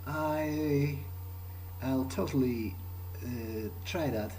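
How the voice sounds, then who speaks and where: Slow, halting man thinking aloud. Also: medium pitch, a little mellow, slight background noise, low-quality recording. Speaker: player of a role-playing video game, speaking in character. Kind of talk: improvised in-character playthrough monologue.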